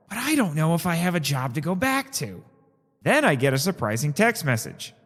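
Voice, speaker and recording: Man acting a sardonic radio host, animated, reverberant. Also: mid-range voice. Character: sardonic, weary young male radio host who survived a crash. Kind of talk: audio drama acting with first-person narration.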